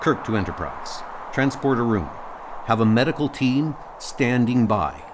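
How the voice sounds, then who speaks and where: A man's voice: mid-range voice, big pitch swings, a little slow, noisy background. A man voicing a caring, authoritative starship captain in a comic audio drama, speaking directly. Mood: commanding.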